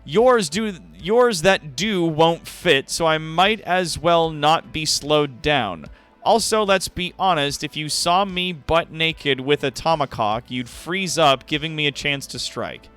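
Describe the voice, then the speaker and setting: A man's voice: on the high side, expressive, takes it slowly, fairly bright tone, clean tone, faint music behind. A man voicing a large, self-serious, hapless man-hunter in a pompous, declamatory way for a fan fiction reading. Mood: cocky, taunting.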